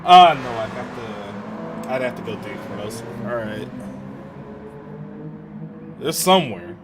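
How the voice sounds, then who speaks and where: A man's voice: fairly low pitch, animated, takes it slowly, music in the background. A man streaming and chatting casually with a friend. He laughs often and trails off, restarting his sentences.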